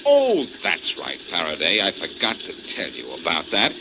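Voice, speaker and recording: A man's voice: low voice, wildly swooping pitch, moderate pace. An American actor playing a cocky, wisecracking amateur detective in a 1940s radio drama, breezy and teasing as he explains things. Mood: confident explaining. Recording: noisy, muffled 1940s radio recording.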